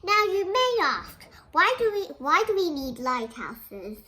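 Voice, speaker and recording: A woman's voice: very high-pitched, sing-song, a little slow, pushed and bright. A young girl reads a children's picture book aloud, explaining it and asking questions like a little teacher. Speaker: young storybook reader.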